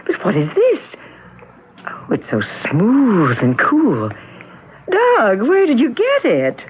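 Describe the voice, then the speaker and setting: A doting, anxious wife in an old radio play. Her voice is fairly high and sweeps widely in pitch, heard through a very muffled broadcast. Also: wildly swooping pitch, slow pace, a little hiss or hum, heavily acted. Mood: tender, curious. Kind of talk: vintage radio drama acting. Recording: muffled vintage radio, faint music.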